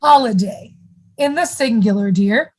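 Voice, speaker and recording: A woman's voice: a little high, measured pace, darkish tone, husky. An actress playing a saccharine, pushy sitcom mother, gushing and primly chiding in a comedic radio play.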